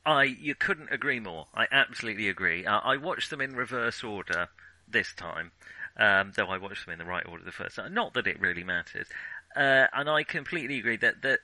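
A man co-hosting a casual film discussion, thinking aloud in a halting way and often backtracking as he goes. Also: fairly low pitch, lively intonation, sharp tone, low-quality recording. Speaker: podcast co-host.

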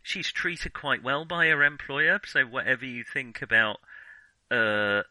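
A man with a very bright, forceful voice in a dry, close recording. He co-hosts a casual film chat, feeling his way through opinions with hedges and sentences that often restart halfway. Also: medium pitch, animated, no echo, poor audio. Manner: halting, hedging, often restarts sentences mid-thought. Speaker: podcast co-host.

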